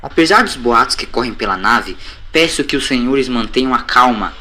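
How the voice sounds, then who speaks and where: A man's voice: medium pitch, animated, normal speed, a little hiss or hum. A man voicing an officious, blustering ship's chief in an audio story, formal and authoritative. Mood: commanding. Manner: authoritative, formal, forceful announcements. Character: officious male ship chief, blustering, anxious to keep order. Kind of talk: audio story character acting.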